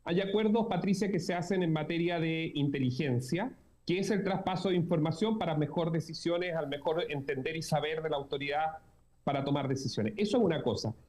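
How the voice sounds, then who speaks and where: A man's voice: a little high, fairly quick, darkish tone. A Chilean man with a Chilean Spanish accent, a journalist speaking as a guest in a political interview, earnest and emphatic, repeating key words.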